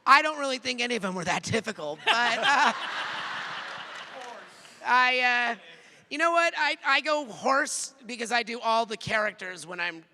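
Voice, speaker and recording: A man's voice: extremely high pitch, lively intonation, moderate pace, bright, forceful tone. A man who voice acts and directs, trading offhand, repetitive banter on a convention panel.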